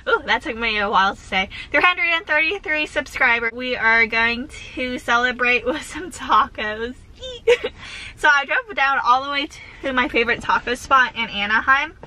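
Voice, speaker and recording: Young woman, bubbly filler-heavy car vlog, bright, slightly high voice, recorded on a dashboard camera. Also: lively intonation, moderate pace. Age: young adult. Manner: bubbly, says "like" constantly, giggly. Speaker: vlogger. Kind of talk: casual chatty vlog with eating. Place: inside a parked car. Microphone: camera on dashboard facing her.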